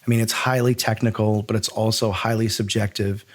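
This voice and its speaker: A man's voice: on the low side, little pitch movement, fairly quick. A middle-aged American man hosting a podcast, chatting informally and thinking aloud as he frames things. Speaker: podcast host. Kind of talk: casual conversational interviewing.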